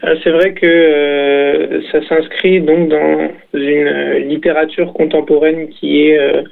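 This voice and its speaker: A young man, a poet, answering interview questions thoughtfully, with many pauses and restarts. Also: fairly high pitch, level tone, vocal fry. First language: French. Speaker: poet and author. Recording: tinny phone-like radio sound.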